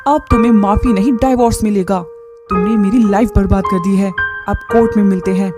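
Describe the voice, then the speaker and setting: A woman's voice: medium pitch, fairly even intonation, fairly quick, over music, natural voice. A woman voicing an earnest, direct wife in a moral story. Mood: angry. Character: trusting wife and nurse, wronged and stern.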